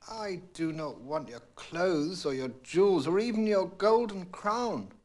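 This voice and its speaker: A polite little bargaining frog from a storybook, acted out by a male reader. The voice sits fairly high and swings up and down a lot. It speaks formally and deliberately, coaxing with great earnestness. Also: expressive, a little mellow. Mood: earnest, insistent.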